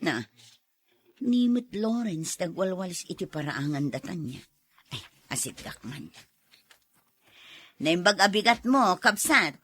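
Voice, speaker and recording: A woman's voice: lowish voice, sing-song, a little slow, pauses often, a bit bright, full character voice. A woman playing a weary but feisty put-upon wife and mother in a radio drama, with an Ilocano accent and a complaining, sighing manner. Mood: weary, friendly.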